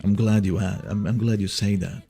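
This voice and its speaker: A man's voice: lowish voice, fairly even intonation, normal speed, vocal fry, mellow, breathy edge, soft background music. A young man from Italy with an Italian accent, chatting casually on a livestream, rambling and restarting his sentences and swearing now and then. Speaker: streamer.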